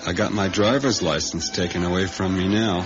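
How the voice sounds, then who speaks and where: Low-voiced folksy diner worker played by a man. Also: steady tone, a little fast, close to an everyday voice. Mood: plaintive, confiding. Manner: plainspoken, folksy, intrusive. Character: lonely, simple, blunt male diner worker. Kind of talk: 1980s radio drama acting. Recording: muffled radio recording, music behind.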